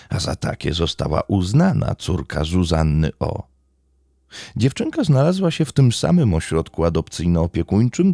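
A man narrates a scripted crime story in a low, mellow voice. His melody rises and falls widely while he keeps a measured storytelling manner, pausing for drama. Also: gravelly, husky, dry recording. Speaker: true-crime podcast narrator.

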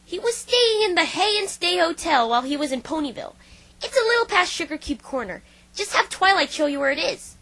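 A woman's voice: extremely high pitch, very animated, brisk, sharp tone, heavily acted. A woman voicing a brash, defensive young female pegasus pony in a fan dub, with a casual, cocky delivery. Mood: casual, confiding. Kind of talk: fan dub voice acting of a visual novel. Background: music.

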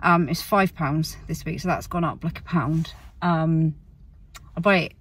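A British woman talks casually in a fairly low, raspy voice. She wanders and corrects herself as she goes, hunting for the right word. The sound is a little distant, like a phone recording inside a parked car. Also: steady tone, unhurried. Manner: rambling, word-searching, self-correcting. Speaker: family vlogger. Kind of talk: casual chat to camera.

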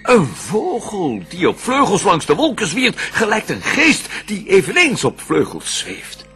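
An actor playing a proud, scheming fallen angel out for revenge, declaiming raspy verse in a radio drama. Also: male voice, high voice, wildly swooping pitch, a little slow, fairly bright tone, faint music behind, full character voice. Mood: grand, excited. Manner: declamatory, grandiose.

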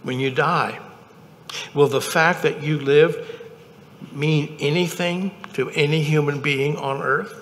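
A man in his seventies preaches a sermon from a chapel lectern. His delivery is slow and deliberate, broken into short phrases, in a bright, firm voice. The hall adds a long reverb. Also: on the high side, fairly even intonation. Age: 70s. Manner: measured, deliberate, pausing phrasing. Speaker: chaplain preaching. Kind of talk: sermon, read from notes at a lectern. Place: indoor chapel, at a wooden lectern.

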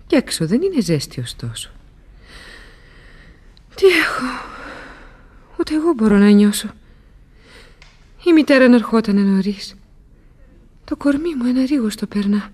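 An actress playing an innocent, timid young maiden in a radio play, musing to herself in a breathy, sometimes hushed voice. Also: female voice, mid-range voice. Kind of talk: theatrical radio drama acting.

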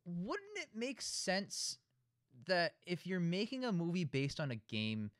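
Male talk show host, casual and argumentative, higher pitch with very animated intonation. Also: slow. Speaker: host of a film discussion show. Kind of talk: casual debate.

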